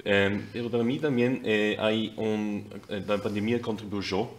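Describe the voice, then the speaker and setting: A man with an Austrian accent speaking haltingly in a second language on a discussion panel, searching for words. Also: fairly low pitch, fairly even intonation. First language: German.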